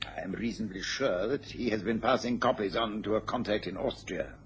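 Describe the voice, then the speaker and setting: An actor playing a worried, dignified British government official in a radio drama, formal and hesitant. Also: male voice, on the low side, fairly quick, close to an everyday voice. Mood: grave, confiding. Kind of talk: mid-century radio drama acting. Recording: noisy old radio recording.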